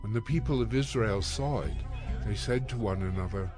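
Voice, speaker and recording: A man's voice: lowish voice, normal speed. A man giving scripted narration of scripture as the narrator of an audio production. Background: music.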